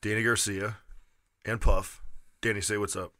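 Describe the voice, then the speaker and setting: A man's voice: on the low side, little pitch movement, moderate pace, bright, forceful tone, no room sound, clean studio sound. A man co-hosting a podcast who laughs often and tells stories in an easygoing way. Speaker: podcast co-host. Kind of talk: casual chat.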